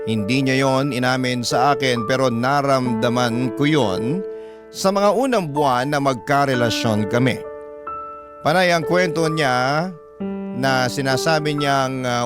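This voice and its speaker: Male narrator, mid-range, sentimental Filipino radio love-story reading, measured, clear music underneath. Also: mid-range voice. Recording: prominent music bed behind voice.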